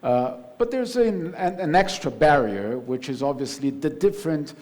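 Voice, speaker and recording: Halting male panel moderator. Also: a little high, lively intonation, a little mellow. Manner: hesitant, halting question.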